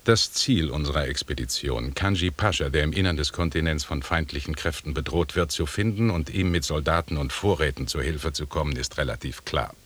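Ein Schauspieler spielt in einem Hörspiel einen selbstsicheren, pompösen englischen Expeditionsleiter, förmlich und belehrend. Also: male voice, a bass voice, brisk, few pauses, a bit bright, breathy edge, heavily acted. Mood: stern, lecturing. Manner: pompous, lecturing. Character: self-assured, pompous English expedition leader, a knighted gentleman. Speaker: actor in a radio drama. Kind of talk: formal radio drama dialogue.

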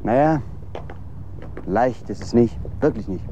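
A man's voice: medium pitch, expressive, measured pace, mellow, dull audio. A man acting in a German radio drama as a hopeful, naive young would-be film director, earnest and friendly. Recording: clear outdoor street and traffic noise. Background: city street ambience with vehicles.

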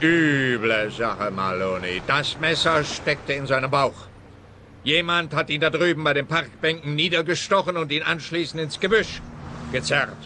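Bright, pompous policeman. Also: a little high, normal speed, a little hiss or hum. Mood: grave, puzzled. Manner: pompous, literal-minded. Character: self-important, stolid male police officer, fond of crosswords. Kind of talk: radio crime comedy acting.

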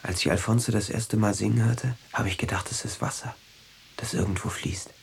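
Creaky-voiced dreamy teenage boy. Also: lowish voice, fairly even intonation, fairly quick, in a whisper. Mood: dreamy, rapt. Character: dreamy, obsessive teenage boy who longs to fly. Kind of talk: German radio drama acting.

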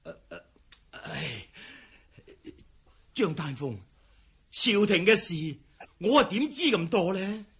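Husky, high-pitched cowardly palace eunuch. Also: male voice, very animated, takes it slowly, long pauses, a bit bright, heavily acted. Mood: nervous, evasive. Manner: hesitant stammering, sighing. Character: cowardly fleeing imperial palace chief eunuch, evasive, self-serving. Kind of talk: Cantonese historical radio drama acting.

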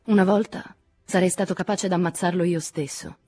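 A troubled, disillusioned man read by a female audiobook narrator. The voice is low and husky, grave and restrained. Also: steady tone, moderate pace, dark tone, close to an everyday voice. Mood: troubled, resigned. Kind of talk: audiobook character reading.

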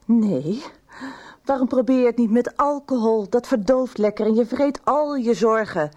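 A woman's voice: fairly high pitch, moderate pace, warm tone, lightly acted. Actress as a blunt, sardonic woman doctor. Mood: sarcastic. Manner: dry, confrontational. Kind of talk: 1990s radio soap acting.

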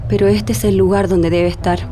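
An actress with a Chilean accent playing a grieving daughter giving intimate, emotional testimony in a radio drama. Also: female voice, medium pitch, little pitch movement, fairly quick, mellow, close to an everyday voice. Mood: sorrowful, reflective. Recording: music behind the voice.

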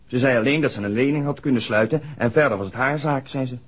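A man's voice: medium pitch, fast talker, breathy edge, close to an everyday voice. A man acting an earnest, hesitant young village garage worker in a 1960s radio play, speaking deferentially. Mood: uneasy. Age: young adult. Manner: hesitant, deferential to his questioner. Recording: dull 1960s radio drama recording.

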